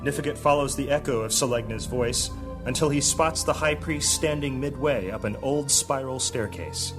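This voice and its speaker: A man's voice: mid-range voice, moderate pace, over music, close to an everyday voice. A man narrating an epic fantasy audio drama as a solemn, dramatic storyteller reading from a script.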